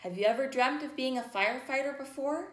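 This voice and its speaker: A woman in her thirties hosting a children's storytime, reading aloud in simple, deliberate phrases and putting questions to young listeners. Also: sits high, a bit bright. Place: small room indoors, near a plain wall. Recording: roomy indoor echo.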